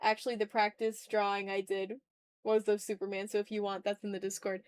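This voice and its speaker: A woman's voice: medium pitch, flat delivery, a little fast, clean tone, no room sound, clean studio sound. A woman chatting casually on an art livestream while she draws, informal and rambling, with some swearing and self-deprecation. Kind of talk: casual livestream chat while drawing, reading out viewer comments.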